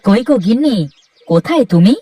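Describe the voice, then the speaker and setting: A woman voicing a poor young male laborer who talks softly and hopefully, pleading with his wife. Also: a little high, expressive, fairly quick. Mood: eager. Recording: distant voice with cartoon music behind.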